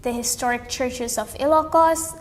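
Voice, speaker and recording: A young woman reading a storybook aloud expressively, with deliberate, dramatic phrasing. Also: high-pitched, big-room sound. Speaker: read-aloud competition contestant.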